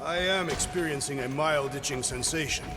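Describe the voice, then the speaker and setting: A man playing a proud, literal-minded alien warrior in a video game, speaking formally and deadpan. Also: a little high, normal speed, natural voice. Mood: literal, earnest. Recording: hall reverb, music behind.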